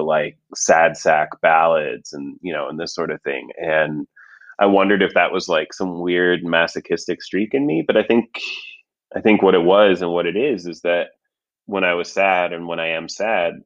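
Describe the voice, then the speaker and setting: A man's voice: deep voice, fairly bright tone, highs cut off. A man from Iran, a poet guest, talking in an earnest, thoughtful way with lots of fillers. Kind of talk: reflective conversation.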